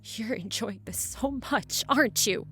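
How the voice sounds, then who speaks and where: High-pitched, distraught young stepdaughter played by an actress. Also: female voice, unhurried, sharp tone. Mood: furious. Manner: sarcastic, confrontational, emotionally raw. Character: distraught, suspicious, hot-tempered young stepdaughter. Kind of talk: audio drama acting.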